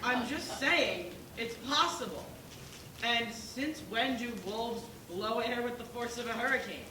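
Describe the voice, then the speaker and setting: A woman's voice: fairly high pitch, unhurried, natural voice. A woman playing a reasonable pig juror who argues and questions in a stage play. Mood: reasoning, doubtful. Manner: questioning, argumentative. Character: reasonable pig juror, calmly doubting the wolf's guilt. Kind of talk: school stage play acting. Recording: roomy, distant, noisy stage recording.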